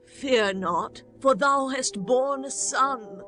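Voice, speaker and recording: A woman's voice: high-pitched, slow pace, bright, forceful tone, faint music behind, full character voice. A woman playing a solemn, sorrowful ancient Israelite in dramatized scripture. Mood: comforting.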